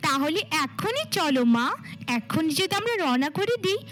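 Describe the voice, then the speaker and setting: A woman's voice: very high voice, very animated, normal speed, a bit bright, exaggerated voice. A woman voicing a scheming stepmother in a cartoon fairy tale, with a theatrical manner. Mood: sugary, coaxing. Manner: theatrical, coaxing and cajoling. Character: scheming, cruel stepmother feigning sugary motherly love.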